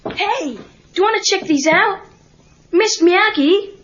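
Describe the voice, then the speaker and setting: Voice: very high voice, lively intonation, slow, a bit bright, full character voice. A performer playing a skeptical teenage boy who is learning karate, talking informally and with some sarcasm in an audio drama. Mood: hesitant, casual. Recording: muffled lo-fi read-along cassette tape.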